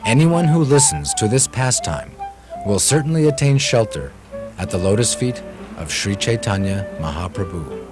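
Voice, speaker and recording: A man's voice: fairly low pitch, big pitch swings, moderate pace. A man narrating a puppet show as a storyteller, reading a scripted tale over music.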